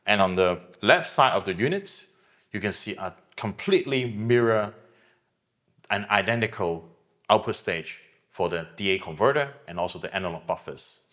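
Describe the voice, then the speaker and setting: A middle-aged man giving a measured, explanatory product presentation as a company representative. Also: on the low side, fairly bright tone. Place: hi-fi showroom.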